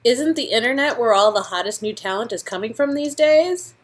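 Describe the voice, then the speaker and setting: A woman's voice: on the high side, expressive, fairly quick, close to an everyday voice. A woman playing a polite, plucky young aspiring actress in a comic dialogue reading.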